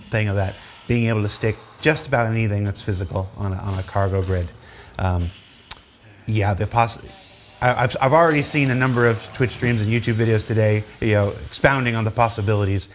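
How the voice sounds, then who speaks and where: A man hosting an unscripted live show, speaking in a halting way with emphatic repetition. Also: lowish voice. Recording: noisy streamed show audio.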